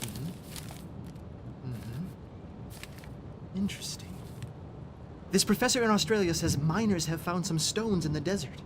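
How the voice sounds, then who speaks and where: An earnest young student, played by a man with a high-pitched voice. He reasons things out articulately, as a dutiful, analytical son in a radio drama. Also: expressive, a little slow, pauses often, background noise. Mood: curious. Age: young adult. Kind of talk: radio play acting.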